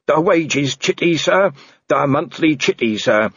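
A man's voice: fairly high pitch, expressive, measured pace, fairly bright tone, breathy edge, dry recording, highs cut off, voice pushed up, heavily acted. A man voicing an earnest, dogged young male constable who stays politely insistent with his superior. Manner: politely insistent, ends lines with sir.

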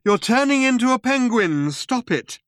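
A man voicing a bewildered, anxious man who speaks in halting exclamations, in an audiobook story. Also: high voice, sing-song, dry recording, studio quality, voice pushed up. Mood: alarmed, fretful. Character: bewildered, anxious man, mildly fretful and stammering.

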